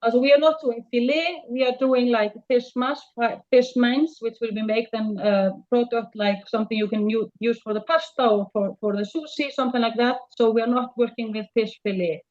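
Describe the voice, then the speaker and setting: A woman founder answers investor questions in halting, non-native English, with frequent fillers. Her voice sits fairly high. Her audio comes through as the dull, lo-fi sound of a video call. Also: steady tone, darkish tone. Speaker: startup founder pitching.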